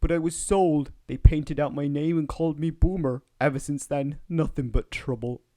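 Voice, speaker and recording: A man's voice: fairly high pitch, fairly even intonation, normal speed, dark tone, natural voice. A man voicing a gloomy, weary old boat that is down on its luck. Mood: mournful.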